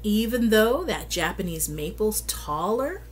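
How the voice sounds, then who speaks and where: A woman's voice: medium pitch, very animated, unhurried. A woman voicing a wise, plainspoken older woman gardener in a storybook. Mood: knowing.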